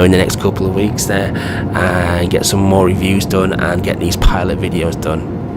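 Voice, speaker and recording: A man with a low, croaky voice, hoarse from a cold, rambling through opinionated vlog commentary. He keeps asking whether you know what he means, and music plays clearly behind him. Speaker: gaming video creator.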